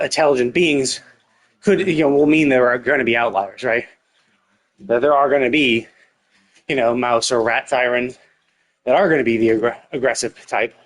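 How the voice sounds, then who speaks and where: A man livestreaming a game, giving casual, rambling commentary as he thinks aloud and swears freely. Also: mid-range voice. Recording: game music playing behind the voice. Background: video game music.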